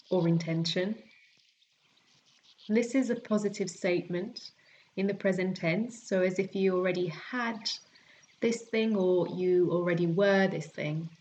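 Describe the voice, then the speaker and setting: A woman teaching yoga nidra, guiding a relaxation meditation in a gentle, soothing, unhurried way with long pauses. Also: lowish voice, lo-fi sound, slight background noise. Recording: birdsong and forest sounds behind voice. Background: calming forest nature sounds with birds.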